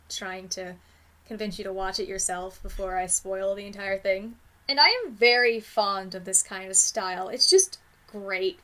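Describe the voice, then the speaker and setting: A woman's voice: sits high, bright, forceful tone, poor audio. A woman giving an enthusiastic scripted video review in a gushing, emphatic, casual way.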